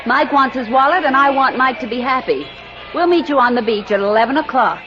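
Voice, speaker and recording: An actress playing a sharp-tongued, teasing young fiancée with wry exasperation in a 1940s radio drama. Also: female voice, high-pitched, expressive, a little fast, over music. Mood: cajoling. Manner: wry, sassy, coolly exasperated. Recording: noisy, dull old radio recording.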